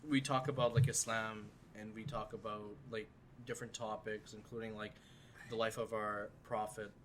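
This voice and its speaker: A man's voice: fairly low pitch, level tone, normal speed, a little hiss or hum. A seventeen-year-old man hosting a casual podcast chat, rambling with lots of fillers. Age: teens. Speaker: podcast host.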